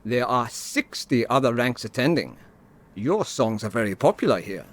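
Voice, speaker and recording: A man's voice: mid-range voice, sing-song, moderate pace, natural voice. A man playing a formal, wary lieutenant in an audio drama, clipped and courteous. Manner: clipped, formal, deferential until barking orders. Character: stern, formal German army lieutenant, courteous but wary.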